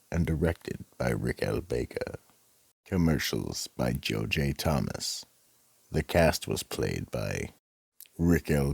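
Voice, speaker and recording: A man playing a sinister, menacing horror storyteller with a gravelly, husky voice, narrating a radio drama theatrically. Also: rumbling low, darkish tone, no room sound, full character voice. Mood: sly, menacing. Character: sinister psychotic horror storyteller, keeper of the vault. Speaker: host and narrator.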